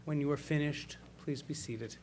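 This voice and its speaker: A man leading a synagogue service, giving calm instructions to the congregation. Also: fairly high pitch, moderate pace, slight background noise. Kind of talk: liturgical instructions. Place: synagogue sanctuary.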